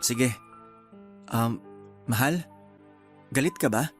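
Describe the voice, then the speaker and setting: Placating young husband played by an actor. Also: male voice, mid-range voice, takes it slowly, soft background music, lightly acted. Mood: tentative. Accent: Filipino. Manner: hesitant, placating. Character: apologetic, placating young husband, eager to appease wife. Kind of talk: Tagalog radio drama acting.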